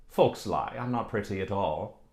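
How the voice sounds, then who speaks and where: A man's voice: fairly low pitch, animated, a little slow, darkish tone. Man voicing a vain coquettish village beauty. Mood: musing. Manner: coquettish, haughty, admiring herself. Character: vain teasing young village beauty, female.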